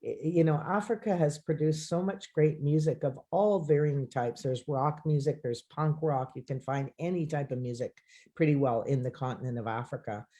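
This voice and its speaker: Mellow-voiced enthusiastic co-host. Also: medium pitch, normal speed. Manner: enthusiastic and explanatory, laughs easily. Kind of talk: casual live-stream chat.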